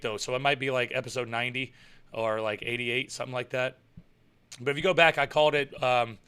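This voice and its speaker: A man's voice: fairly high pitch, fairly bright tone, smooth. A young man hosting a casual live stream, reading out viewer comments and rambling about wrestling, repeating himself and chuckling often. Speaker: live show host and pro wrestler.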